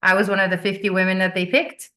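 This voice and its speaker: A woman's voice: medium pitch, flat delivery, fast, bright, forceful tone. A woman who grew up in Venezuela, a business coach chatting as a guest on a video call, laughing often and repeating words as she starts. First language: Finnish. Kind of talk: casual interview chat.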